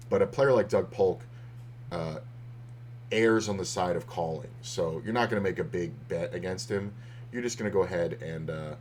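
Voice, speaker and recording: Deep-voiced, slightly hoarse man streamer. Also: darkish tone, slight background noise. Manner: rambling, loose, with many fillers and restarts. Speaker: poker streamer. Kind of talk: casual commentary on poker hands.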